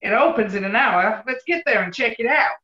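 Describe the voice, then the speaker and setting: An actress as a brassy American city character in a 1940s radio play. The delivery is brash, sharp and very lively, heard in an echoey room. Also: female voice, on the high side, a little fast. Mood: urgent. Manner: brash, animated. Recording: roomy, muffled online recording.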